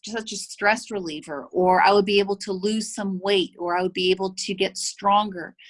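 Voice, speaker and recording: A woman chiropractor presents to a group in an easy, conversational way. She acts out what her patients say and often stops to ask "make sense?" Her pitch sits in the middle range for a woman. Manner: acts out patients' remarks in quotes. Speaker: chiropractor and health practice owner. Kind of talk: presentation to an association.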